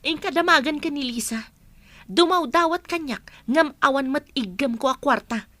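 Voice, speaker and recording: A woman's voice: high voice, normal speed, sharp tone, no room sound. A woman acting an indignant young sister who protests emphatically in a radio comedy drama.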